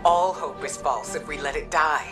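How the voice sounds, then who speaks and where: A woman playing a wise, compassionate mystic leader in a video game, speaking gravely and deliberately. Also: sits low, over music, close to an everyday voice. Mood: pleading, solemn.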